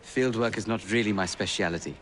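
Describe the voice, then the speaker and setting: An actor playing a polite, bookish Victorian gentleman with a refined English accent and formal wording in a video game. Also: on the low side, brisk, slight background noise, natural voice. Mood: earnest, intrigued. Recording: loud music behind dialogue.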